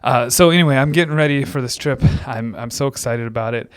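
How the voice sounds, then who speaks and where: A man's voice: medium pitch, quick pace, clean tone. A man co-hosting a podcast, telling anecdotes in casual chat and laughing readily as he talks. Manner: giggly, laughs readily while talking. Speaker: podcast co-host.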